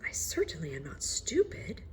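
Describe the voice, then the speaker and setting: A woman's voice: low-pitched, wildly swooping pitch, normal speed, a little mellow, whispered. A woman voicing a proud but self-doubting male court officer in a storybook, muttering to himself. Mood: uneasy.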